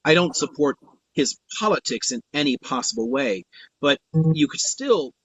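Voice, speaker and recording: A man hosting a casual live stream, talking in halting restarts about politics. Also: medium pitch, big pitch swings, a bit bright, husky, dry recording. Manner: halting, many restarts, plain-spoken. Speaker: host. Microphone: spare phone. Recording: dull lo-fi phone stream.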